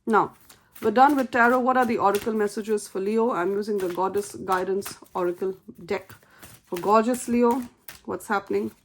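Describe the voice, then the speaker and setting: A woman's voice: mid-range voice, steady tone, normal speed, a little hiss or hum. A woman whose first language is not English, giving an earnest, encouraging tarot reading and repeating key phrases for emphasis. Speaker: tarot reader.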